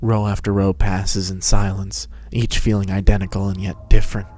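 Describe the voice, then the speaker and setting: A man's voice: low-pitched, level tone, normal speed, mellow, slight background noise. A man narrating a fan-fiction story as a scripted dramatic reading.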